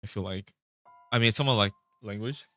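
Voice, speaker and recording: Male vlogger, very deep voice, loose rambling delivery, dry recording. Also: fast talker, a bit bright, no room sound. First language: Vietnamese. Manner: rambling, self-interrupting, swears casually. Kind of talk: casual rambling chat.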